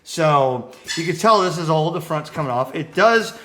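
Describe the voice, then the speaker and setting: Man, higher-pitched, bright forceful tone, casual vlog host, excitable, repeats phrases. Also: moderate pace. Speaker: ham radio vlogger, host. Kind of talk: casual hobby chat to camera.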